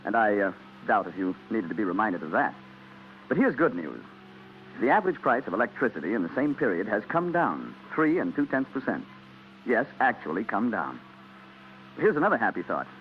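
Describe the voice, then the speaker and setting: Man, American, 1940s radio announcer, lively melodic delivery, scripted yet chatty, dull hissy vintage recording. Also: lowish voice, normal speed. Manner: conversational, rhetorical questions to listeners. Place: radio broadcast studio.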